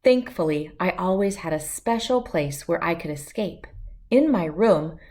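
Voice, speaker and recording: Lower-voiced woman reading a picture book. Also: unhurried. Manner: expressive storytelling in first person. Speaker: storybook read-aloud host.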